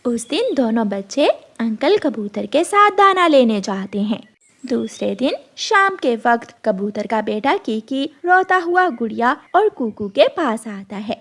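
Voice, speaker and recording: A woman's voice: on the high side, very animated, measured pace, a bit bright. A woman narrating a scripted children's cartoon story as the storyteller.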